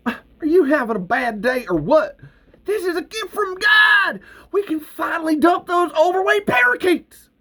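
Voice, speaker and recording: A man reading out a screenplay, voicing an excitable scheming male hustler who pitches high and loud. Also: slow pace. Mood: excited.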